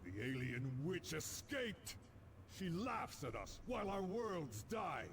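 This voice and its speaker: An actor playing a hot-headed, scornful space marine warrior in a video game, indignant and emphatic. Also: male voice, a little high, very animated, takes it slowly, vocal fry, far from the mic, background noise, exaggerated voice. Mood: outraged. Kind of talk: scripted video game acting.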